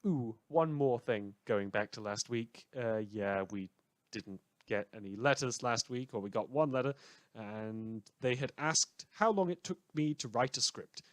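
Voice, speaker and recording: A man's voice: mid-range voice, unhurried, no room sound. A man hosting his fan podcast, giving informal closing credits and notes in a conversational way. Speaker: podcast host and writer. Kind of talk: informal show outro and credits.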